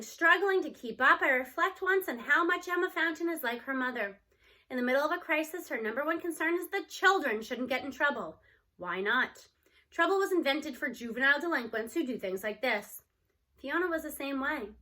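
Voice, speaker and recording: A woman's voice: sits high, expressive, moderate pace, sharp tone, rough recording. A woman narrating a children's novel aloud as a scripted story reading.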